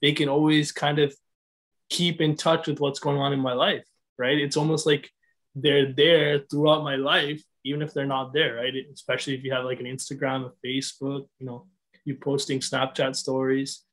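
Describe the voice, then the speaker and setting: A man's voice: medium pitch, flat delivery, moderate pace, fairly bright tone. A young man from Sri Lanka talking casually on a video call panel, chatty with lots of "like" and "right". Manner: chatty, many likes and rights.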